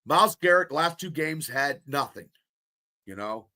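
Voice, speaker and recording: A man co-hosting a sports podcast, talking in excitable, rambling bursts full of restarts, with a stuffy nose. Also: fairly high pitch, unhurried. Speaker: podcast co-host. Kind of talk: casual sports podcast chat.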